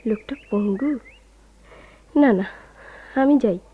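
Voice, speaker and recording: A woman's voice: on the high side, slow. An actress playing a kind-hearted, hesitant woman in a Bengali radio drama, fretful and musing. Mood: musing, worried. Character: concerned, hesitant, kind-hearted woman talking to herself and a stranger. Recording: dull lo-fi radio recording, clear noise.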